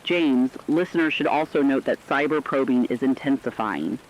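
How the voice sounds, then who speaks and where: A man's voice: medium pitch, moderate pace, muffled recording, slight background noise, lightly acted. A man voicing a serious news analyst in a radio crisis broadcast, explaining events in formal scripted speech.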